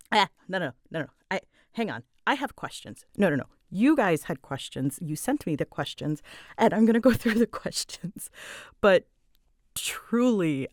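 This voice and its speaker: A woman with a slightly low voice talks casually in a very dry, close recording. She takes her time, halting and restarting her sentences as she rambles. Her manner is unscripted, apologetic and self-deprecating. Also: a little mellow, no room sound. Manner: halting, often breaks off mid-sentence. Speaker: audio roleplay creator answering fan questions.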